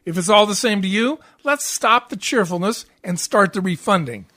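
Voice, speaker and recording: A man's voice: high voice, expressive, normal speed, sharp tone, exaggerated voice. A man playing a grumpy, sarcastic, impatient husband in a 1940s-style radio comedy. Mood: dry, impatient.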